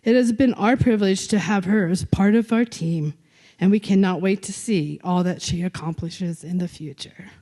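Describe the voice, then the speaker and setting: A woman introducing a speaker at a youth awards ceremony. She talks loudly and chats easily, joking about herself between her prepared remarks. Her pitch sits in the middle for a woman. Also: moderate pace, rough recording. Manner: loud, chatty, self-joking. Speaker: club director introducing a speaker. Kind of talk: event emcee introduction.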